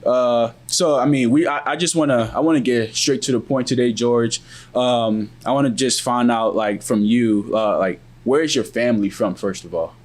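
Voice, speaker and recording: A young man from West Africa hosting an interview, talking in a relaxed, loose way. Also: medium pitch, moderate pace. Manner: relaxed, laid-back, loose phrasing. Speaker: podcast host. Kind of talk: casual interview chat.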